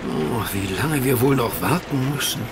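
An actor playing a keen, restless gentleman detective in a German audio drama, expressive and precise. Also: medium pitch, normal speed, loud music behind the voice, natural voice. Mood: impatient.

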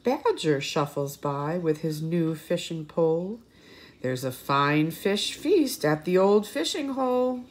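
A woman reads a children's story aloud at an unhurried pace. Her pitch swings widely, and her voice has a slightly husky edge. She pauses often and speaks directly to young listeners. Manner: animated, pausing, with asides and questions to children. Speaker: children's story reader and teacher. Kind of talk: expressive picture-book read-aloud for young children.

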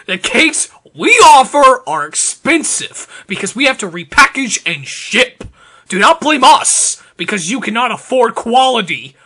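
A man giving an over-the-top dramatic reading, theatrical, emphatic and deliberate. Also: sits high, sharp tone, breathy edge. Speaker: performer reading social media posts aloud.

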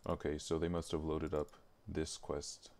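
A man thinking aloud in a casual, halting way as he streams work at his computer, muttering to himself. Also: rumbling low, monotone, darkish tone. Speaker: live streamer working on a game mod. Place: indoors at a computer, keyboard typing.

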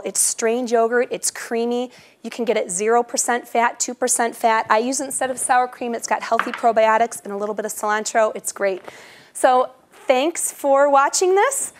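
A woman in her thirties giving an upbeat, chatty cooking demo straight to the viewer in a large home kitchen. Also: a little high. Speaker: cooking instructor. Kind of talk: cooking show audition demo.

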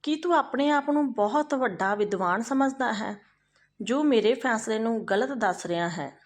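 A woman's voice: fairly high pitch, normal speed, lightly acted. Woman voicing a stern, proud king. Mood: indignant.

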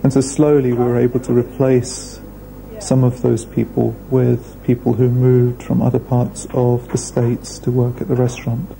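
A man, speaking thoughtfully in a documentary interview with measured pauses. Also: medium pitch, monotone, vocal fry, dark tone, rough recording. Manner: measured, thoughtful, hesitant fillers. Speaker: restaurant founder. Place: indoor room.

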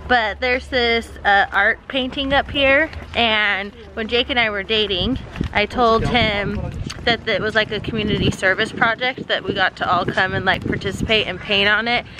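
A woman's voice: medium pitch, steady tone, normal speed, background noise. A young woman chatting casually and teasingly while filming a beach day vlog on a handheld camera outdoors. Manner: bubbly, giggly, teasing. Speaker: vlogger. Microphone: handheld camera at arm's length.

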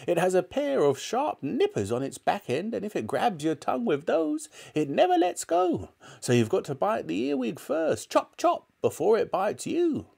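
A man acts out a wicked little old grandmother from a children's storybook. The voice is pitched very high and swings wildly up and down. This granny is bossy and scornful, snapping out every order and relishing anything disgusting. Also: huge pitch swings, normal speed, gravelly, few pauses, darkish tone, slightly rough, full character voice. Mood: gleeful, sinister. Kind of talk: storybook character reading.

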